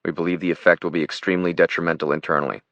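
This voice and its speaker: A man's voice: low voice, level tone, racing, a bit bright, no echo, dull audio. A man playing a confident, brusque paramilitary chief in his thirties, delivering lines like a firm military briefing in an audiobook. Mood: businesslike, firm. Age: 30s.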